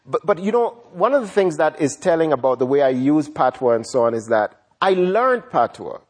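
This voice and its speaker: A writer who grew up in Ghana, answering interview questions in a reflective, unhurried way, often repeating words as he thinks. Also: fairly high pitch, big pitch swings. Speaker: writer and guest.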